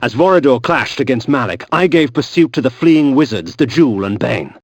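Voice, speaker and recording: A sardonic vampire nobleman narrating a dark fantasy game. His delivery is grandiose and disdainful, with a widely swinging melody over a mid-pitched voice. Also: animated, brisk. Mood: grim. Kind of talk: dramatic scripted game narration.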